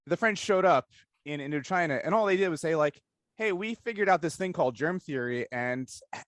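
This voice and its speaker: A man hosts an informal discussion interview in a mid-pitched voice. He talks conversationally, rambling and halting as he works out his thoughts. The sound is close and dry, with very little room in it. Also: no room sound. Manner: halting, thinking aloud, rambling. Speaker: interview host.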